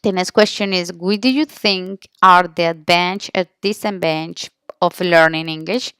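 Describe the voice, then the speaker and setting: A woman's voice: lowish voice, moderate pace, a bit bright, clear, resonant tone, no room sound, clean studio sound. A woman with a Colombian Spanish accent gives an informal class presentation in English as a second language, searching for words and correcting herself. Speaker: language student presenting an interview.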